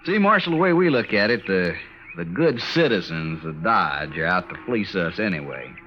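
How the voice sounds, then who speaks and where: Texan trail boss, low pitch, gruff, folksy, old radio drama, dull recording. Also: male voice, sing-song, normal speed, a little hiss or hum. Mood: grim, stubborn. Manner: blunt, folksy. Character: gruff, stubborn, plain-spoken Texan cattle trail boss. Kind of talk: old time radio western acting. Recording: muffled vintage radio, faint music.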